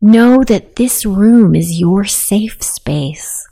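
Very slow, creaky, mellow woman guiding meditation. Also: mid-range voice, expressive. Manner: soothing, slow, hushed, with drawn-out pauses. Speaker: meditation guide. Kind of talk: guided meditation, self-hypnosis narration.

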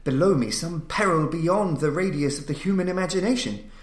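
A man's voice: fairly high pitch, a little fast. A man reading a gothic horror story as a dramatic audiobook narrator, solemn and suspenseful. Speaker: narrator, podcast reader. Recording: roomy, echoing voice recording.

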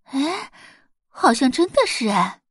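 A woman's voice: sits high, big pitch swings, takes it slowly, a bit bright, a little hiss or hum, pitched above the performer's own voice, exaggerated voice. A woman voicing a curious middle-aged wife and mother who chats in exclamations and questions. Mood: surprised.

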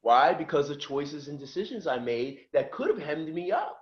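A middle-aged man from just outside Philadelphia tells his story in a relaxed, conversational way, slipping into the voices of the people he quotes. His voice sits a little high for a man. The audio is dull and lo-fi. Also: moderate pace. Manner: lively storyteller who acts out quoted voices. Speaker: podcast guest. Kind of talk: casual storytelling.

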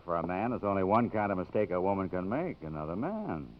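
An actor playing a cocky, wisecracking magazine photographer in a 1950s radio drama, breezy and sardonic. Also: male voice, sits low, big pitch swings, quick pace. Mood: sardonic, determined. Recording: dull vintage radio broadcast recording.

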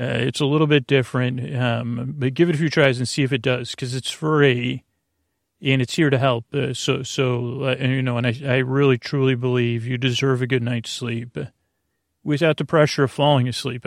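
A man hosting a bedtime podcast in a meandering, halting monologue, often trailing off mid-thought, with a creaky, gravelly voice. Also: medium pitch, level tone, dry recording, studio quality. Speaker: podcast host. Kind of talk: rambling improvised bedtime monologue.

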